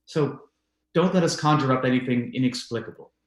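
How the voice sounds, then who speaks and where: A man's voice: medium pitch, close to an everyday voice. A man playing a reasonable but uneasy husband who denies the supernatural, speaking formally in old-time radio drama style. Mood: unsettled. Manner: formal, measured, halting when uneasy. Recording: roomy, echoey voice recording.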